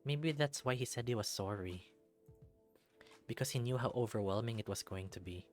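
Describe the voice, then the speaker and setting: A man's voice: mid-range voice, moderate pace, darkish tone, soft background music, close to an everyday voice. A man playing an anxious, unsure male college student who narrates his thoughts hesitantly and informally in a visual novel. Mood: overwhelmed.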